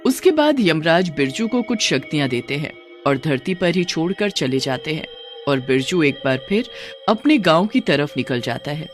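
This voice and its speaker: Male storyteller narrating a cartoon, kinda high voice, really animated up and down, music behind.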